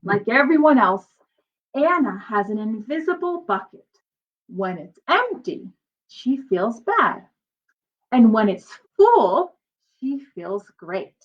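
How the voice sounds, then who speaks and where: A woman voicing a patient old grandfather in a storybook, gently and deliberately teaching a child a lesson. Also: a little high, very animated, muffled recording. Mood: gently admonishing.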